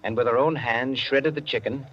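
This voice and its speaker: An actor narrating a suspenseful 1940s radio mystery in a measured, dramatic manner. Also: male voice, medium pitch, steady tone, lightly acted. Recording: muffled 1940s radio broadcast, music behind.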